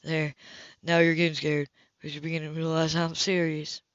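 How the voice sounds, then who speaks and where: Woman doing a determined guy in love for a romance audiobook, blunt, earnest, low voice. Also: flat delivery, moderate pace, no room sound, highs cut off, close to an everyday voice. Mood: sincere, insistent.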